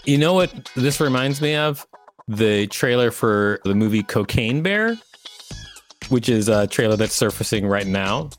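A man's voice: mid-range voice, lively intonation, a little slow, soft background music. A man hosting a podcast, reading an encyclopedia article aloud and adding casual commentary. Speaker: podcast host.

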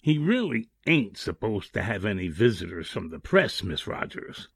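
Husky, swooping, folksy prison guard played by a man. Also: lowish voice, very animated, normal speed, fairly bright tone, no room sound, heavily acted. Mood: gruff, obliging. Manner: folksy, colloquial. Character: plain-spoken, obliging prison guard. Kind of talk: 1940s radio drama acting.

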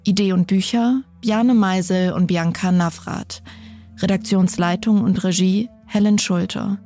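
Female credits announcer, medium pitch, scripted list-reading delivery over clearly audible music. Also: fairly even intonation, measured pace, mellow. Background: prominent music.

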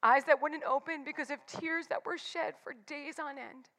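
A woman's voice: sits high, steady tone, moderate pace, a bit bright, big-room sound, low-quality recording. A woman in her forties giving a candid, confessional devotional talk with emphatic repetitions. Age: 40s. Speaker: church speaker.

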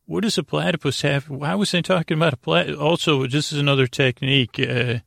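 A man hosting a bedtime podcast in a rambling, meandering monologue, often circling back and losing his thread, with a creaky voice. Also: fairly high pitch, steady tone, fairly quick, fairly bright tone, no room sound, clean studio sound. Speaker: podcast host.

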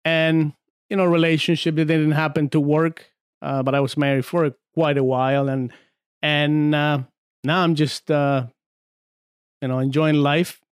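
Smooth-voiced man from Argentina. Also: on the high side, a little slow, no echo, studio quality. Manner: relaxed storytelling with many fillers and restarts. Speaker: podcast guest. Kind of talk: casual chat. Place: small podcast studio.

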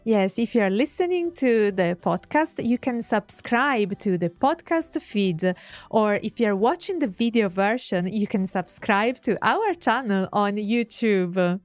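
A woman's voice: mid-range voice, expressive, unhurried, darkish tone, no echo, soft background music. A woman with an Italian accent, a native Italian speaker, co-hosting a conversational language lesson as a teacher. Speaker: language teacher and show host.

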